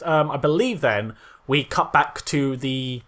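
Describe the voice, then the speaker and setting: A man's voice: mid-range voice, a little slow, a bit bright. A man co-hosting a casual, comedic film critique in an informal chatty style. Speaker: podcast co-host.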